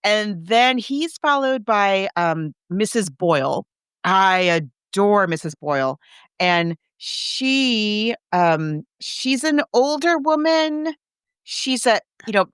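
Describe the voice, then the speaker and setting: A woman co-hosting a casual book discussion, recapping a story in an animated, chatty way with amused asides. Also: on the high side, slow, clear, resonant tone, no room sound, studio quality. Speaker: podcast co-host.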